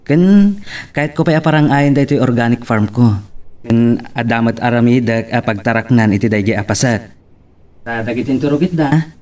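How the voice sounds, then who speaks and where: A man's voice: medium pitch, moderate pace, a little mellow, close to an everyday voice. A man playing a hopeful, hardworking young farmer in love in an Ilocano audio drama. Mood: cheerful, proud. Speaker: actor in radio-style drama. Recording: slightly distant, noisy ambient recording.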